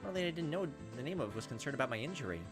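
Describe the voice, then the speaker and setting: A man's voice: on the high side, expressive, rapid-fire. A man hosting a let's play, reading game text aloud and adding casual, wry asides. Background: game music.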